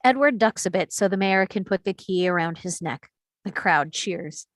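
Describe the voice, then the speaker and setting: A woman's voice: on the low side, animated, moderate pace, no echo. Woman reading screenplay directions, dry sound. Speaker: narrator reading stage directions. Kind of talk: scripted narration at a screenplay table read.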